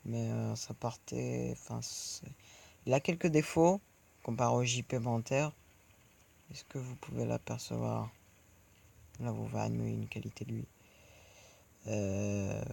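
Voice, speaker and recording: A man's voice: on the low side, creaky, a little mellow, a little hiss or hum. A man giving rambling let's play commentary over his tank game, chatting to his viewers between shots. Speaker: gaming video creator.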